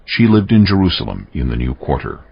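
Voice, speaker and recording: A man narrating scripture from a script in a solemn, measured, deliberate way. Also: low voice, sing-song, vocal fry, highs cut off, slight background noise.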